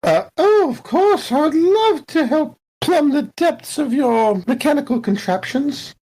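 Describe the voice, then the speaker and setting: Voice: high-pitched, lively intonation, measured pace, mellow, voice pushed up, low-quality recording. A player voicing an eager, curious woman mechanic in a bubbly, playful way.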